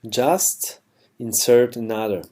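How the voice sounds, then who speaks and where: Slow, halting man explaining software. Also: mid-range voice. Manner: halting, self-correcting, slightly non-native phrasing. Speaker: plugin developer demonstrating software. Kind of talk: unscripted screencast tutorial.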